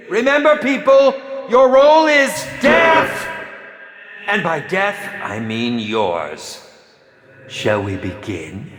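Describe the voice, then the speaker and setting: An actor voicing a pompous, campy, sadistic horror film director for a video game, with condescending, drawn-out theatrical delivery. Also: male voice, high-pitched, a bit bright, roomy sound, long reverb tail, full character voice. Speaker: game announcer.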